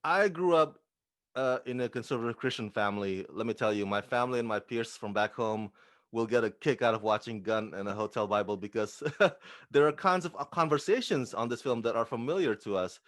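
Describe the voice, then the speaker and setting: A male interviewer with a medium-pitched voice presents prepared questions to guests. His delivery is deliberate and courteous, and the recording is dry, free of room echo. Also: fairly bright tone. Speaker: film interviewer, host.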